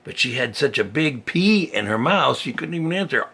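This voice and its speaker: An older man, a grandfather reading a children's story aloud in a folksy, chatty way, with a gravelly, husky voice and simple asides as if to a small child. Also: mid-range voice, lively intonation, moderate pace, pushed and bright, slight background noise.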